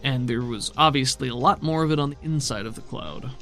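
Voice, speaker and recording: A man with a mid-pitched, creaky voice runs a tabletop roleplaying game. He narrates the scene as game master, with faint music behind him. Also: brisk, bright, forceful tone.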